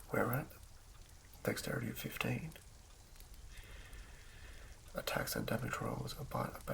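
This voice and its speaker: A man reading in a hushed, breathy ASMR whisper with a halting, measured delivery. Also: medium pitch, rough recording. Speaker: host of an ASMR channel. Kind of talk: ASMR reading of game rulebook.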